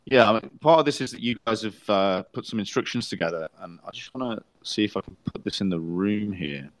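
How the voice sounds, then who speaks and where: Low-voiced man co-hosting a podcast. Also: expressive, brisk, dry recording. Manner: explains plans at length, many fillers and restarts. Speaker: podcast co-host. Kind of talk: casual podcast chat.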